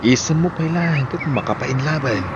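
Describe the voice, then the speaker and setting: An actor plays an obliging, flirtatious married man with an animated, swinging delivery and an Ilocano Filipino accent. It is audio drama acting over faint background noise. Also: mid-range voice, moderate pace, lo-fi sound, lightly acted. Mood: flirtatious, teasing. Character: Jeric, obliging, flirtatious married brother-in-law.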